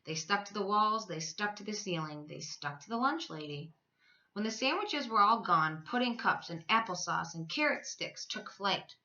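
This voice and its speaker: A woman reads a children's storybook aloud like a teacher to her pupils. Her voice is bright and very lively in pitch, with emphatic, stretched words. The recording is a little dull and lo-fi. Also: moderate pace.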